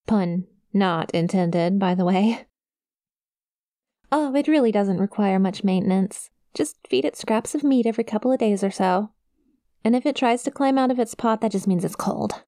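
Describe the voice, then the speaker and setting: A woman's voice: mid-range voice, mellow, no echo, clean studio sound, close to an everyday voice. A woman playing a friendly, awkward young succubus demon in an intimate ASMR roleplay, with a shy, casual, hesitant manner. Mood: matter-of-fact, amused. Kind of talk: ASMR roleplay, intimate one-sided conversation to the listener.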